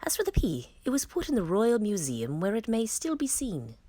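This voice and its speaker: Animated woman storyteller with a husky voice. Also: medium pitch, normal speed, no room sound. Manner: dramatic storytelling with emphatic swells. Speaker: storytime reader. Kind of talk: expressive scripted fairy tale read-aloud.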